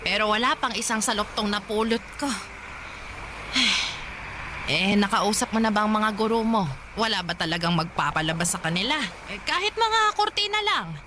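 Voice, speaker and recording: A woman's voice: medium pitch, expressive, normal speed, noisy background, close to an everyday voice. An actress playing a stern, weary old grandmother in a radio drama, speaking bluntly. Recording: music playing behind.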